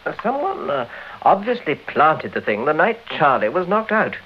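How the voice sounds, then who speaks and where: An actor playing a polite, methodical British police inspector with a hesitant, deferential manner in a vintage radio drama. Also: male voice, a little high, big pitch swings, normal speed, breathy edge. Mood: matter-of-fact. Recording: thin, narrow, hissy old radio recording.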